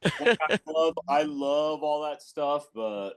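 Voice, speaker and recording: A man's voice: on the high side, slow pace. A middle-aged man, a drummer guesting on a podcast, talking casually in an informal, slangy way. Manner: informal, says bro and like often. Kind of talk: casual chat.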